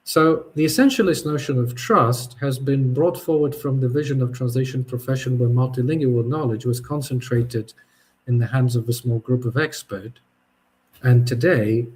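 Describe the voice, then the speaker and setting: A man who is a native Polish speaker, giving a thoughtful spoken essay, often pausing and restarting his sentences. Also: mid-range voice, darkish tone. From Poland. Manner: thoughtful, halting, with frequent restarts and fillers. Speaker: podcast host.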